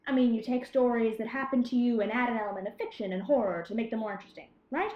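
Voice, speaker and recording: A woman's voice: on the high side, fast talker, lightly acted. A woman playing a polite, formal, inquisitive interviewer on 1950s radio. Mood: polite, curious. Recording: muffled, echoey vintage interview tape.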